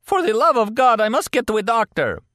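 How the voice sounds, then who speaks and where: Audiobook role: frantic injured foreigner, voice pushed very high, agonized and begging. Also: male voice, sing-song, brisk, fairly bright tone, dry recording, studio quality, exaggerated voice. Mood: agonized, pleading. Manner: strained, agonized pleading. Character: frantic wounded foreign man, pleading in agony.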